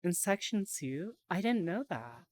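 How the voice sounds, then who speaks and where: A woman live-streaming in a VR headset, chatting casually and reading out phrases. She sounds tired and a little hoarse, like she is losing her voice. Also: fairly low pitch, sing-song, darkish tone. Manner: chatty, reads aloud slowly, laughs easily. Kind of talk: casual live-stream chat while learning Japanese. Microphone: VR headset mic.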